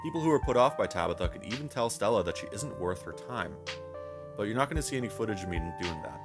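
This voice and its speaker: Fast-talking man with a very low voice. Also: expressive, music in the background. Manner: wry, self-deprecating, wordy phrasing with casual swearing. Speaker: video game reviewer. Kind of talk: scripted video essay narration, conversational.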